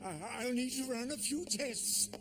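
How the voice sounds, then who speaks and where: An actor playing a fussy, polite gentleman in an animated series, halting and mannered in his speech. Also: very high-pitched. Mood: evasive. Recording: loud music under the voice.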